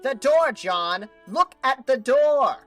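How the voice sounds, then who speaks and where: Woman playing an excitable, high-pitched, bossy commander. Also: slow. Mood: alarmed, outraged. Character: excitable, bossy voice giving game commands, easily alarmed. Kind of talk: fan dub of a webcomic. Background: music.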